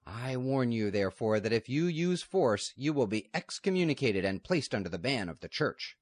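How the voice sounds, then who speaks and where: A man's voice: mid-range voice, sing-song, moderate pace, dry recording, clean studio sound, voice pushed up. A man voicing a stern, dignified older abbess of a convent, firm and solemn, in a raised voice, with no room sound.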